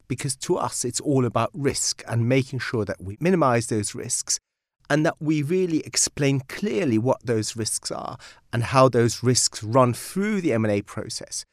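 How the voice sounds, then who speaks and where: A Belgian-born man whose first language is Dutch, speaking as a guest lawyer in a studio interview and explaining things in a measured, emphatic way. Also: mid-range voice, dry recording. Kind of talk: articulate interview answers.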